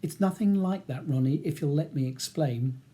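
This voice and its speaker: A careful, conciliatory male barrister in an audiobook, voiced by the narrator. He explains himself in a mellow, placating tone, trying to keep things calm. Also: mid-range voice, normal speed, close to an everyday voice.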